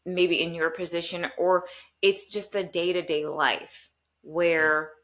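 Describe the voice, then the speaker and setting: A woman's voice: on the low side, level tone, takes it slowly, a bit bright. A woman hosting a faith podcast interview, enthusiastic as she introduces and questions her guest. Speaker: podcast host, coach.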